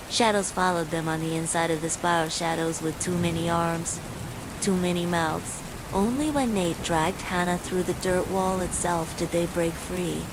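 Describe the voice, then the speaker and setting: A woman reading a scripted horror bedtime story, with falling rain behind her. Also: lowish voice. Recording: steady rain noise throughout.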